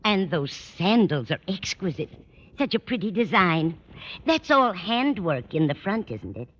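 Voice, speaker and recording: A woman's voice: fairly low pitch, very animated, unhurried, a bit bright, exaggerated voice. A woman playing a fussy, scatterbrained, kindly older landlady in a 1950s radio sitcom, with a fluttery manner. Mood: chatty, admiring. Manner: fluttery, absent-minded. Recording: muffled old radio broadcast, faint noise.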